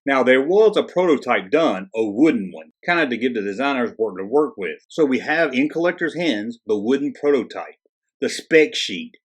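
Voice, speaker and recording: A man's voice: mid-range voice, lively intonation, moderate pace, husky. A man hosting a toy-collecting video channel, narrating casually with wisecracking, sarcastic asides in a conversational way. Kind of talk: jokey, casual scripted narration.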